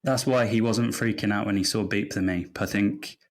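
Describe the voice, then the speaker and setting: A man's voice: on the low side, fairly even intonation, moderate pace. Reflective man in casual podcast conversation. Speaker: podcast guest. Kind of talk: casual fan discussion.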